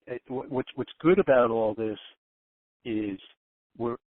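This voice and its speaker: A man giving informal commentary as a panelist on a telephone conference call, haltingly and repeating his phrases. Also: mid-range voice, no room sound. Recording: narrowband tinny phone line.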